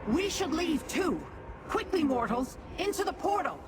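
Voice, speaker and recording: A woman's voice: very high-pitched, sing-song, background noise, exaggerated voice. An actress playing a haughty, calculating demon noblewoman in a fantasy game, speaking formally and condescending to mortals. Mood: urgent, commanding. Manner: imperious, formal, measured wording. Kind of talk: fantasy game character acting. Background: game music.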